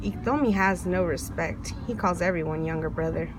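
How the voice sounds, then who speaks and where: A woman reading a children's folktale aloud in an expressive storytelling way, putting questions to the listener. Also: lowish voice, moderate pace, noisy background. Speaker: storybook reader, a mother.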